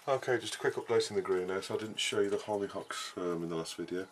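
A man giving a casual, explanatory gardening talk in a halting, unscripted way, as a vlogger would. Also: lowish voice, over music. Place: outdoor vegetable garden and greenhouse. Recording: clearly noisy outdoor ambience, uneven distance.

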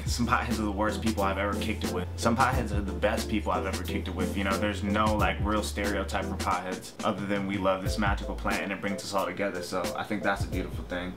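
A young American man with a fairly low voice hosts a casual vlog from a room at home. He talks in a laid-back, slangy way and swears freely. Guitar music plays clearly behind him. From Hawaii and Washington state, United States. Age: 20s. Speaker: vlog host. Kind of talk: casual chat to camera, answering viewer questions. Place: small room at home, indoors.

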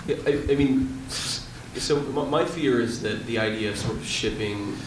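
A man on a conference panel giving a thoughtful, halting answer with many restarts. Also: mid-range voice. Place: conference room. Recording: roomy, distant, with noise.

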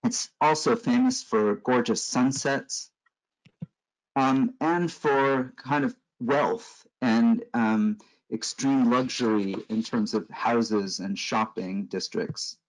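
A middle-aged man from Los Angeles with an American accent, giving a conference lecture over slides in a measured, explanatory way with frequent fillers. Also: mid-range voice, poor audio. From Los Angeles, California.

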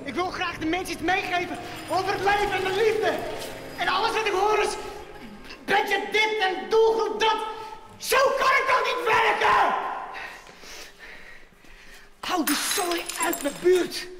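Overwrought director, extremely high-pitched. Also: male voice, measured pace, in a large hall, background noise. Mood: exasperated, ranting. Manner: theatrical, exasperated, ranting. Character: overwrought, temperamental male artistic director, self-important. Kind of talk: short film acting.

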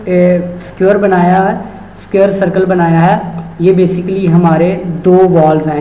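A man's voice: sits high, a little mellow, reverberant, rough recording. A man in his thirties, talking casually to camera as he explains a technical drawing step by step, with restarts and fillers, on a lapel mic in an office. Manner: conversational teaching with frequent fillers and restarts. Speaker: HVAC instructor. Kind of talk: technical tutorial explaining a diagram. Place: office with desks and computers.

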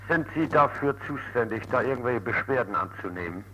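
A man's voice: medium pitch, lively intonation, fairly quick, pushed and bright, slight background noise, low-quality recording. A man calling to complain, blunt and indignant, in an old archive telephone recording. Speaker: complaining radio listener calling in. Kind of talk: phone complaint.

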